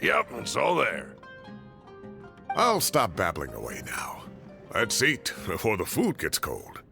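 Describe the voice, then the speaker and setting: A man's voice: lowish voice, huge pitch swings, slow pace, vocal fry, heavily acted. A man playing a genial, blustery old retired professor in a video game. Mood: content. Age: older. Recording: game music underneath.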